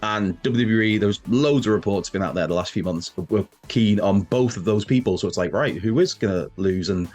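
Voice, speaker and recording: A man's voice: fairly low pitch, brisk, few pauses, darkish tone. A man hosting a wrestling news show, sounding nasal and bunged up from a cold, rambling and correcting himself as he chats. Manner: rambling, self-correcting, chatty with asides. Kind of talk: casual news chat to camera.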